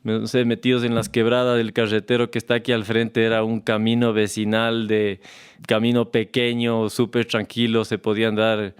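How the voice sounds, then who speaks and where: A man from Ecuador with an Ecuadorian Spanish accent, a farmer talking as a guest in a relaxed, unhurried way, often restarting and repeating words. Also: fairly low pitch, clean tone. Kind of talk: relaxed conversational storytelling. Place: farm, recorded on location.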